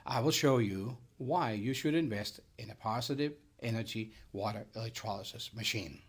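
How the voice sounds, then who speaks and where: A man's voice: fairly low pitch, normal speed, breathy edge. Danish-accented man presenting a scripted lecture. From Denmark. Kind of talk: scripted documentary-style sales lecture narration.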